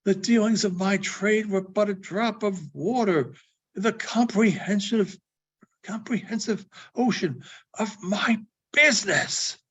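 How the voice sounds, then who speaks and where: A man voicing the mournful, doomed ghost of a dead businessman in a slow, solemn, declaiming storybook reading. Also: sits high, a bit bright, husky, pitched above the performer's own voice, full character voice. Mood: anguished. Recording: dull, muffled sound.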